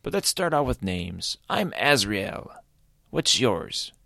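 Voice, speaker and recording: A man's voice: big pitch swings, slow, creaky, pushed and bright, no room sound, studio quality, heavily acted. A man voicing a small, kind, blue-skinned male creature who welcomes a stranger into his home. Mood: friendly. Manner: high, eager, welcoming.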